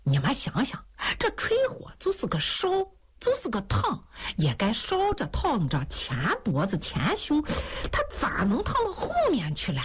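A woman's voice: fairly high pitch, huge pitch swings, measured pace, breathy edge, voice pushed up, heavily acted. A woman voicing a teasing old man who keeps a coffin shop, talking in sly, mocking banter. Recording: tinny phone-like sound, faint music.

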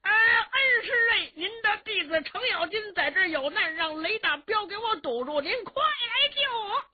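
A woman storyteller voicing a cornered, blustering male warrior who wheedles and wails in drawn-out pleas. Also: high voice, animated, lo-fi sound, pitched above the performer's own voice. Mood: desperate wailing. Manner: wailing drawn-out chants, wheedling.

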